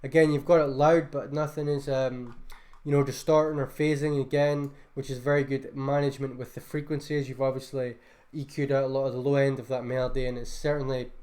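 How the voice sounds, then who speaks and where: A man's voice: medium pitch, level tone, fairly quick, a little mellow. A man, a music producer, reviewing beats in a casual livestream, laid-back and enthusiastic, loose with fillers. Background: faint beat music.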